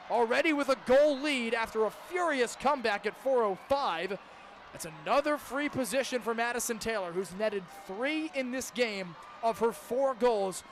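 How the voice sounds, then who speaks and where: A young man calling live sports on the radio, describing each move in detail with dramatic phrasing. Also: extremely high pitch. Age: young adult. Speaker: play-by-play broadcaster. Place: outdoors at a lacrosse stadium. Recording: clear outdoor stadium noise behind.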